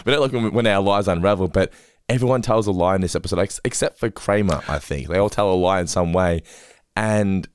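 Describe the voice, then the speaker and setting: A man hosting a casual podcast, hoarse after losing his voice, chatting and plugging the show. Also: low-pitched, a little fast, a little hiss or hum. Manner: chatty, reads sponsor plugs. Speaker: podcast host.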